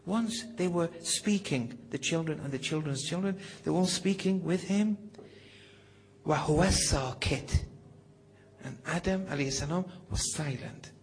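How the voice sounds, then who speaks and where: Slow, deliberate man giving a religious lecture. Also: a little high, slightly rough. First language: not Farsi (Farsi is his second language). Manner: slow and deliberate, drops to whispers for emphasis. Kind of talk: devotional lecture reading and explaining texts.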